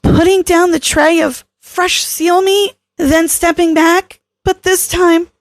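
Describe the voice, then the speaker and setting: Narrator as higher-pitched, earnest, worried woman. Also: steady tone, slow pace, no echo, exaggerated voice. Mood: shaken. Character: cautious, worried female scientist, protective and earnest.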